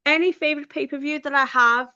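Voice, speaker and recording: Woman, Liverpool accent, high voice, chatty, live stream host, dull lo-fi sound. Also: fairly even intonation, fast, pushed and bright, dry recording. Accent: Liverpool (Scouse). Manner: chatty, bubbly, laughs often, talks to viewers. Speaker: livestream host. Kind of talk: casual live stream chat.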